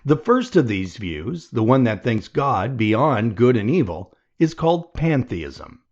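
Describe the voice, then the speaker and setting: A man reading a nonfiction audiobook aloud in a measured, deliberate way. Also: medium pitch, a little mellow, highs cut off. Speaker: audiobook reader.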